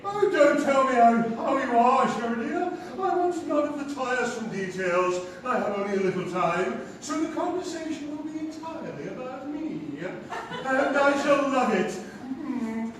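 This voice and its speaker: A man's voice: very high voice, lively intonation, a little slow. A man playing a flamboyant, self-adoring English playwright in a stage comedy, gushing and grandiose. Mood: gleeful, vain. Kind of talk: theatrical stage comedy acting. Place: theatre stage before a live audience. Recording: echoey theatre recording from far off, faint noise.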